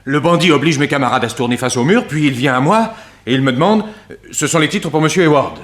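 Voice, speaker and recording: An actor playing a plain-spoken, shaken office clerk giving an account in a French radio drama. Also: male voice, fairly high pitch, animated, fast talker, natural voice. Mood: shaken, recounting. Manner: storytelling, matter-of-fact. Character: shaken but plain-spoken male clerk recounting events.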